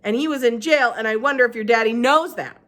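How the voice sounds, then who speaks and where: A woman voicing a taunting, tattling young schoolboy in a needling, drawn-out sing-song. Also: a little high, a bit bright, voice pushed up, close to an everyday voice. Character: taunting, tattling small-town schoolboy bully.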